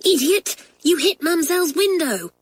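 A woman voicing Janet, a bossy, brisk schoolgirl who talks sharply. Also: very high voice, lively intonation, no room sound, voice pushed up. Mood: urgent whisper. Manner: sharp, commanding.

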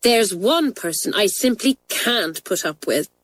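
A woman's voice: mid-range voice, lively intonation, a little slow. A woman reading a children's storybook, voicing a frank, chatty schoolgirl with a breezy, emphatic manner. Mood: indignant. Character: frank, chatty schoolgirl twin, candid and exclamatory.

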